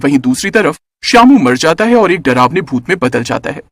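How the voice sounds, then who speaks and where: A man narrates a children's story in a high voice with very lively, swooping intonation. The delivery is scripted and expressive, and the recording is close and dry. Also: fairly quick, dry recording.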